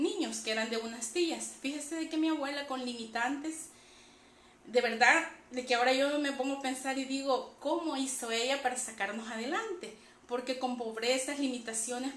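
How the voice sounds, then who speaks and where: A Salvadoran woman with a fairly high voice shares a religious testimony. She talks conversationally, checking in with her listeners as she goes. The room is clearly echoey, with faint noise behind her. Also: fairly bright tone. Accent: Salvadoran Spanish. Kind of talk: personal religious testimony to an online audience.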